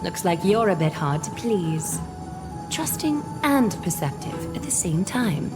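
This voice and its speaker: A woman voicing a composed, noble female leader in a fantasy game, speaking formally and with measured, gentle authority. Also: fairly low pitch, sing-song, over music. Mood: calm, probing.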